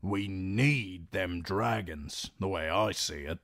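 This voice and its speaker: A man's voice: sits low, takes it slowly, a bit bright, no room sound, studio quality, exaggerated voice. A man doing a grizzled old sellsword. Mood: blunt. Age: older. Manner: blunt, rough-spoken. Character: grizzled old mercenary captain, blunt.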